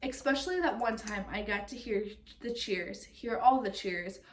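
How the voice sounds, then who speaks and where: A woman reading a picture book aloud like a teacher, expressive and speaking directly to young listeners. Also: mid-range voice, normal speed, a little hiss or hum. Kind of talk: read-aloud storytelling with comprehension questions.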